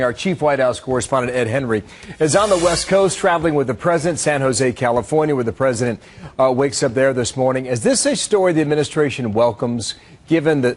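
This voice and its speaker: A man with an American accent anchoring a live news broadcast. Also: medium pitch.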